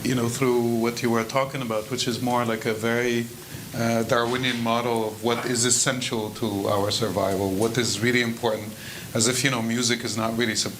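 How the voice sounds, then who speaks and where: Male panelist, mid-pitched voice, thoughtful and musing, audible hall noise behind. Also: little pitch movement, fairly quick, hardly any pauses. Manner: reflective, frequent "you know". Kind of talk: roundtable discussion. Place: hall with audience.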